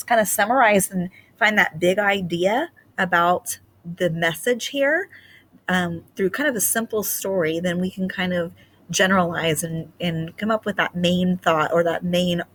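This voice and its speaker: A woman's voice: fairly low pitch, a bit bright. A woman speaking as a teacher leading a children's read-aloud, explaining simply and reading expressively.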